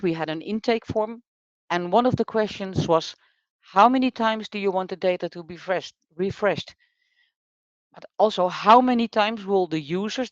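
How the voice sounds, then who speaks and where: A speaker with a smooth, resonant voice at a middle pitch, talking casually as a podcast co-host. The sound is dry and slightly muffled, and the speech stops and restarts with fillers and repeated words. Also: no echo. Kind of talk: casual conversation.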